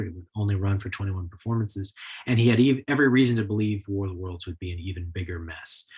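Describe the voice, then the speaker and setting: A man with an American accent delivers a prepared history lecture. His voice is on the low side and noticeably husky. He explains things in full, scripted sentences.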